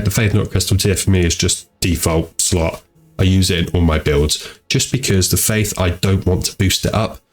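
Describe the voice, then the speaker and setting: A tired man with a blocked-up nose from a cold, chatting in an easygoing, rambling way as he hosts a gaming channel into a home microphone. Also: low-pitched. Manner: easygoing and rambling, repeats "go ahead". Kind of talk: casual commentary over gameplay. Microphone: home desk microphone.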